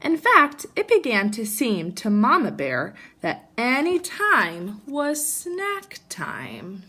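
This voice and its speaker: Bright, animated woman reading a storybook. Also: fairly high pitch, takes it slowly, slightly rough. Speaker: teacher reading aloud. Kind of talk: animated children's storybook narration.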